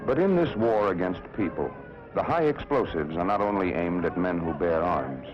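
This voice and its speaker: A man's voice: low-pitched, animated, moderate pace. An American man narrating a 1960s government propaganda film in a solemn, emphatic, declamatory manner. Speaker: documentary narrator. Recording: dull old film soundtrack with music. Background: music.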